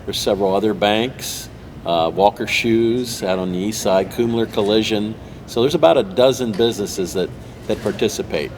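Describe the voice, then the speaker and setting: Low-voiced man, matter-of-fact guest. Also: moderate pace, darkish tone, slight background noise. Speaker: guest, club member. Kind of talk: casual interview talk.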